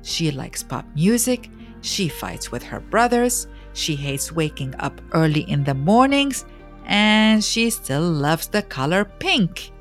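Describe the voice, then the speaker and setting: A woman reading a scripted storybook biography as narrator, in a measured, expressive way with dramatic pauses. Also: lowish voice, soft background music.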